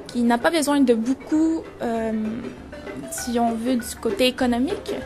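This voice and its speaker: Young woman photographer from Venezuela, 20s, higher voice, reflective, music underneath. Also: a little slow. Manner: reflective, searching for words. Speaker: photographer and journalism student. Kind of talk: interview about her photo exhibition.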